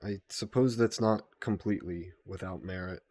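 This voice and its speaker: Low-voiced, courteous male vampire played by a man. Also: steady tone, moderate pace, darkish tone. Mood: regretful, reflective. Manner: polite, formal, hesitant phrasing. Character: gentle, guilt-burdened male vampire employer caring for a guest. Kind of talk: intimate audio roleplay acting.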